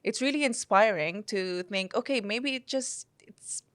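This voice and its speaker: A woman's voice: mid-range voice, a little slow, fairly bright tone, no echo. A woman hosting an interview podcast, effusive and affirming as she chats with her guest. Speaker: podcast host. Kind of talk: casual interview chat.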